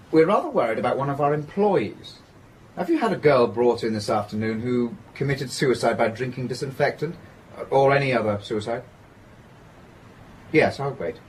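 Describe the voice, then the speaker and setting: Casting: man as well-bred young gentleman, radio drama, cool logic, brisk assurance, animated pitch. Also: slight background noise, natural voice, poor audio. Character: confident, logical young upper-class gentleman, cool sceptic. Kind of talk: period stage-play radio drama acting.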